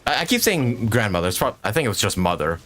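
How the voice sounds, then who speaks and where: A man's voice: lowish voice, very animated, fast, a bit bright. A man giving casual, informal live commentary on a game he is playing, reacting as he goes. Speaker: game streamer. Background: game music.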